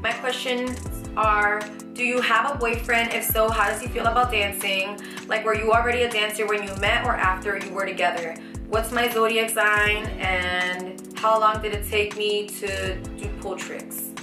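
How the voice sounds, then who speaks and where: A young woman in her twenties, chatty and animated, answering viewer questions in a casual vlog from a small bedroom. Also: mid-range voice, normal speed, reverberant, over music. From United States. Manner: chatty, animated, lots of fillers and restarts. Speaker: vlogger. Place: small bedroom with shelves, indoors.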